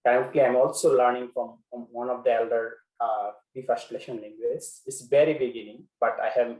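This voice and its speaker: Man, from Bangladesh, first language Bengali, webinar speaker, measured with fillers, mid pitch, reverberant room. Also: darkish tone. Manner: measured, with frequent pauses and fillers. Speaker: guest speaker. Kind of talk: webinar presentation.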